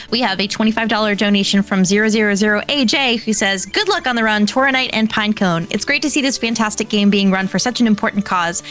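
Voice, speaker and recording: A woman's voice: mid-range voice, fairly bright tone. A woman hosting a live event, reading messages aloud in an effusive, polite manner. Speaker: event host reading donations.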